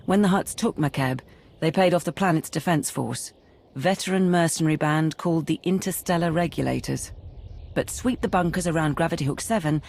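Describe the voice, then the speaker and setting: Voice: medium pitch, darkish tone, lightly acted. An actor playing a methodical military adviser in a video game, giving a precise, businesslike briefing. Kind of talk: video game cutscene acting.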